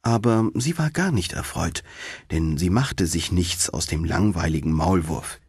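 A man reads a fairy tale with lively, swooping intonation. His voice is fairly low and husky, recorded close and dry. He tells it expressively, as an audiobook narrator. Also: unhurried, no echo. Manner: expressive storytelling.

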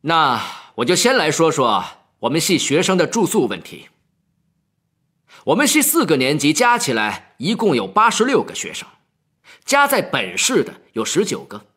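A man's voice: on the high side, huge pitch swings, normal speed, fairly bright tone, slightly rough, full character voice. A man playing an officious, indignant assistant department head in an audio story, speaking in a stern, righteous, pointed manner.

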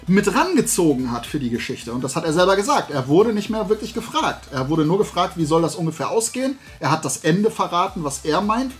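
Guy in his 40s rambling casually to camera, voice a bit on the high side, emphatic and opinionated. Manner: animated rambling, colloquial, emphatic. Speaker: YouTube host of a toy collecting channel. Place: small indoor room lined with shelves of toys.

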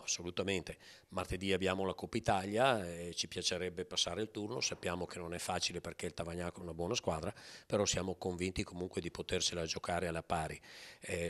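A middle-aged man, a coach, giving a measured post-match interview into a handheld mic. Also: sits low, steady tone, fairly bright tone. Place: press backdrop after a match. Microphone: handheld mic held by interviewer.

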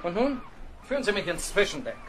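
Imperious naval captain, high voice, commanding, fault-finding, radio play, faint background noise of a ship. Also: male voice, big pitch swings, fairly quick, crowd noise. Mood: stern, commanding. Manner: clipped, commanding, condescending. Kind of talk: German radio play acting.

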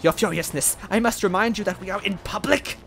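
A man voicing a fussy, anxious old female magic advisor, speaking in a hushed, soothing, fretful way over faint music. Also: high-pitched, fairly quick, creaky, voice pushed up. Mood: pleading.

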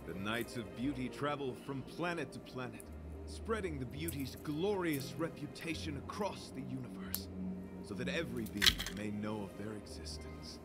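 An actor playing a courteous, earnest young knight devoted to beauty, speaking in formal, florid phrases for a video game. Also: male voice, mid-range voice, animated, moderate pace, close to an everyday voice. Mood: courtly, earnest. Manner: formal, florid, chivalrous delivery. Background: game music.

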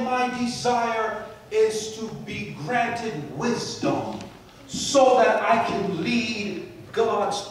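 A man in his forties from Barbados, preaching a sermon with a handheld mic, moving from soft storytelling asides to loud emphatic peaks. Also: high-pitched, recorded from a distance, slight background noise. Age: 40s. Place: church sanctuary. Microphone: handheld mic, far camera. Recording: echoey church, captured from afar.

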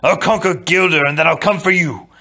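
A man's voice: fairly high pitch, brisk, sharp tone, pitched above the performer's own voice. Man playing a haughty, vengeful villain prince.

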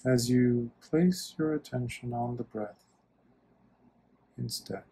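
A man leading a guided meditation as a monk, speaking gently and soothingly in short phrases with long pauses. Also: medium pitch, a little hiss or hum.

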